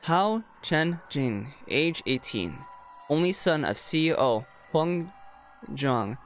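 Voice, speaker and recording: A man's voice: on the high side, very animated, takes it slowly, a bit bright, exaggerated voice. A man voicing a cold, impatient woman kidnapper of about thirty from a visual novel, curt and menacing. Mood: flat, reciting. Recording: music behind the voice.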